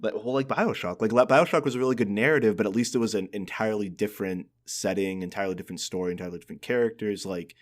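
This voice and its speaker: A man with a low-pitched voice speaks as a podcast co-host. He talks casually and informally, giving opinions in a conversational flow. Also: very fast, few pauses. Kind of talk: casual podcast chat about games.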